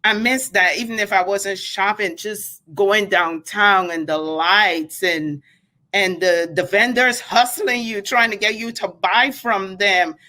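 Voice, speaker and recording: Woman, Guyanese accent, interview guest, slow pace, casual reflective chat, mid-range pitch. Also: fairly even intonation, pushed and bright.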